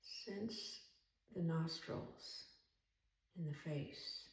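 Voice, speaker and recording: A woman leading a guided meditation as an instructor, speaking calmly and soothingly in measured phrases with long pauses. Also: sits low, gravelly. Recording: roomy echo, distant from mic.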